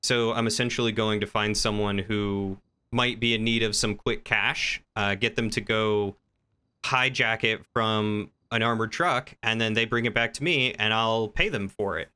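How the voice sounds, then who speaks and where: Bright, forceful-voiced man chatting on stream. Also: on the low side, normal speed, clean tone. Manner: informal explanatory rambling, thinking aloud. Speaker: livestreamer and role-play gamer. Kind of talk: casual livestream chat with viewers.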